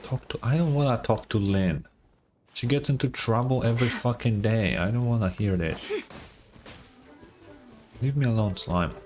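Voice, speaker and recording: A man's voice: mid-range voice, moderate pace, long pauses, darkish tone, soft background music. A man doing casual gaming livestream commentary in a sarcastic, mock-exasperated way, swearing freely. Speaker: streamer.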